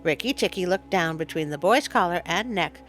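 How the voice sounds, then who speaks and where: A woman reading a story aloud in an expressive, dramatic storytelling style. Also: on the low side, a little fast, faint music behind. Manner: dramatic read-aloud, with interjected asides. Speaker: storyteller, show host. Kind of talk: expressive scripted story narration.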